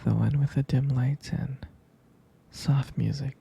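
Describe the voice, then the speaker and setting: A man playing a tender, jealous, protective boyfriend in an intimate ASMR roleplay, murmuring hesitantly in a husky, gravelly whisper close to the microphone. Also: medium pitch, steady tone, a little slow, warm tone, exaggerated voice. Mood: tender, nostalgic.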